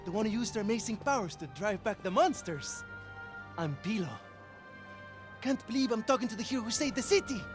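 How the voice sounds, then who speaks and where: A woman playing an eager, starstruck, excitable youngster in a video game. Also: on the high side, brisk, natural voice. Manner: excitable, hesitant. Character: eager, starstruck youngster offering to help the hero. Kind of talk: animated video game acting. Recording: loud music behind.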